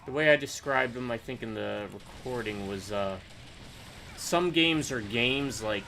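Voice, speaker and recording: A man's voice: mid-range voice, measured pace, gravelly, sharp tone, a little hiss or hum. A man hosting a co-op gameplay video, chatting casually and rambling with plenty of "like" and "uh". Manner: rambling, full of "like" and "uh". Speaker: gaming channel host.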